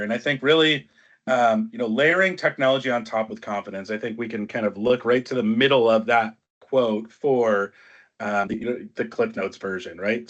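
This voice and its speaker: A man's voice: medium pitch, a bit bright. A man moderating a business panel discussion as webinar host. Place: online webinar call. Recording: dull, band-limited online call audio.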